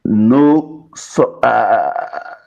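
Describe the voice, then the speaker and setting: A man's voice: mid-range voice, big pitch swings, vocal fry, darkish tone. A man giving political commentary as a panel guest, choosing words carefully, on dull lo-fi audio. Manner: measured, careful wording.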